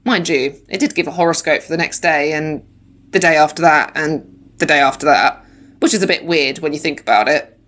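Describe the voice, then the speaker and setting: A woman reading a children's story in the first person, with animated, dramatic emphasis like a primary school teacher. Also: sits low, brisk. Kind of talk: expressive children's audiobook narration in first person.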